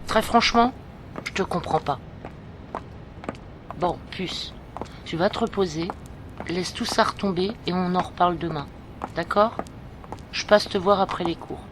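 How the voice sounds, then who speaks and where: A woman's voice: on the low side, measured pace, pauses often, natural voice. A woman playing an exasperated but caring young friend in an audio drama, blunt and chiding. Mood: softening. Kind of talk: amateur French audio drama. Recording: noisy home recording.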